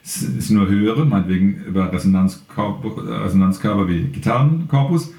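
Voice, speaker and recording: Guy with a lowish voice on a podcast, thinking stuff through out loud, echoey room. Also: lively intonation, moderate pace, gravelly. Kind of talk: casual podcast discussion. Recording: roomy, echoey room sound.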